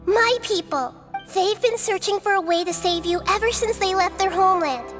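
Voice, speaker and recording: Childlike nature goddess for a video game: very high pitch, wise, gentle, earnest and measured. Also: female voice, music in the background. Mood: firm, pleading.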